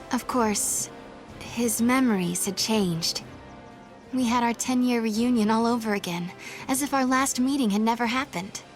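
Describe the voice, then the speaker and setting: An actress playing a gentle, determined young heroine in a video game. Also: female voice, fairly high pitch, lightly acted. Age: young adult. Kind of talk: video game voice acting, part narration.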